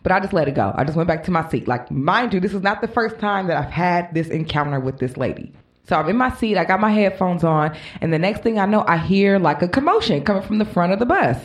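An animated woman co-hosting a casual podcast, her voice bouncing widely up and down as she tells a story. She laughs often and teases playfully between sentences. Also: on the low side, fast. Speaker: podcast co-host.

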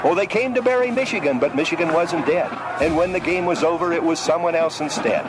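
A man reciting a rhymed sports poem in a dramatic, measured storytelling cadence. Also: on the high side. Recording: noisy archive clip.

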